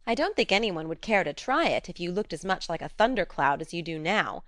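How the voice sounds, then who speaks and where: A woman's voice: on the low side, animated, fairly bright tone, no echo, clean studio sound. A woman reading an audiobook, voicing a frank, spirited young woman who coaxes and scolds directly. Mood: coaxing. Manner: coaxing, brisk and direct.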